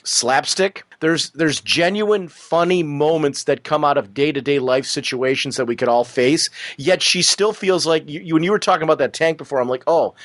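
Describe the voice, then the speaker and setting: A man with a somewhat high voice, raspy from allergies, talks comics on a podcast in a loose, rambling way. His eager talk is full of fillers and side paths. The sound is dry and close, with no room around it. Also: no room sound. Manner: rambling, enthusiastic, many fillers. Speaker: podcast co-host. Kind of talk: casual comic book chat.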